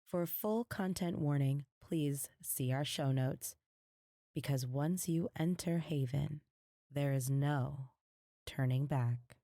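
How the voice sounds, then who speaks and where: A woman announcer reading a scripted horror intro slowly and solemnly. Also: deep voice, dark tone, no echo, clean studio sound. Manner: slow, solemn, ominous delivery. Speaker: show announcer.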